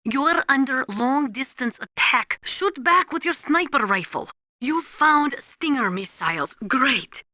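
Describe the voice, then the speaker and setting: A woman's voice: sits high, expressive, slow, bright, forceful tone, no room sound, studio quality, full character voice. An actress playing a blunt, knowledgeable female military weapons analyst in a video game, briefing in a precise, instructive way. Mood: matter-of-fact, urgent. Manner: precise, matter-of-fact, instructive.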